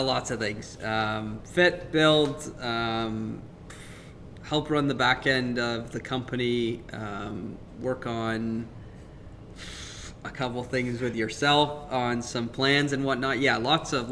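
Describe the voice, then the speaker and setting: A man in a casual live chat, answering in a laid-back, dry and halting way. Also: medium pitch, steady tone, pushed and bright, slight background noise. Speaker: fitter and company staff.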